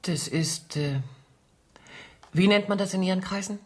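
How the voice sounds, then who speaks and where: An actress plays a worldly female dancer accused of espionage in a radio drama. She speaks in a medium-pitched voice with measured, coy irony. She is evasive and defensive, yet keeps her coquettish poise. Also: lightly acted. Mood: evasive, poised. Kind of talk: German radio play acting.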